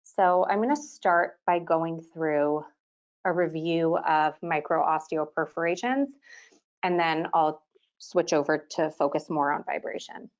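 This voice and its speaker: A woman in her thirties, from Quebec, Canada, presenting a continuing-education webinar as an orthodontist. She speaks in a clear, organized way and often opens her sentences with "so". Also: low-pitched, fairly even intonation, measured pace.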